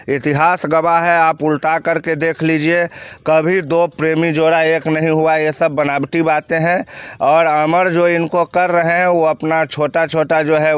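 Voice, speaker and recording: Blunt man with a high, clear voice. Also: no room sound. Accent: Bihari. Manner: blunt, outspoken. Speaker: news commentator answering questions. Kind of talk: opinionated news discussion.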